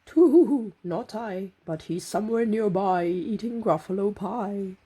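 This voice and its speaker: A woman's voice: mid-range voice, a little slow, mellow, breathy edge, pitched above the performer's own voice. A woman voicing a lofty storybook owl with an exaggerated hooting delivery.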